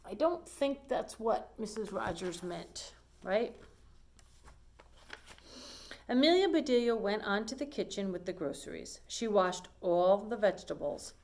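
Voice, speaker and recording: Female children's storyteller, middle-pitched, reads aloud and explains simply to young listeners. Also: animated, a little slow, darkish tone.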